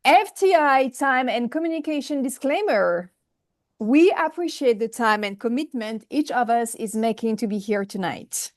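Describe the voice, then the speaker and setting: A woman with a fairly high voice and a strong French accent speaks on an online call. She is careful with her English and now and then halts over a word. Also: expressive, normal speed. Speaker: volunteer timekeeper at a group meeting. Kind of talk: reading a prepared announcement aloud.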